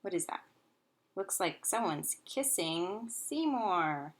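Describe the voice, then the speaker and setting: A woman's voice: lowish voice, lively intonation, slow. A woman teaching young pupils in a read-aloud lesson, encouraging and instructional, with simple phrasing and frequent questions. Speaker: elementary school teacher. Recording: noisy recording with clicks.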